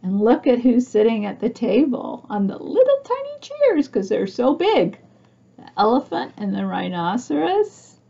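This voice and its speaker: A woman's voice: on the high side, creaky, warm tone, breathy edge, lo-fi sound. A woman reading a picture book aloud to young children, expressively, with chatty asides and questions for her listeners. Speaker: storybook reader for young children.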